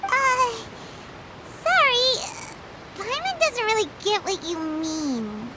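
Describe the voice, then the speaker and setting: A woman voicing a small, excitable, curious female fairy companion in a video game, with a squeaky childlike voice and manner. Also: slow, noisy background, full character voice. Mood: puzzled. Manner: childlike, refers to self in third person.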